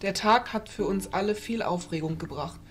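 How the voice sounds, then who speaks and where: A woman playing a regal, stern fairy who leads a council, speaking in formal, measured phrases in a fantasy audio drama. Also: mid-range voice, close to an everyday voice. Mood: composed, stately. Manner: formal, measured, stately speech.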